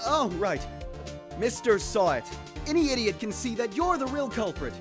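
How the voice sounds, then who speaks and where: Animated, cocky rookie lawyer played by a man. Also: very high-pitched, normal speed, loud music behind the voice. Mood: smug. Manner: flippant, sarcastic, stammering bravado. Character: cocky, dim, bumbling young male rookie defense attorney. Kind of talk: comedic parody voice acting.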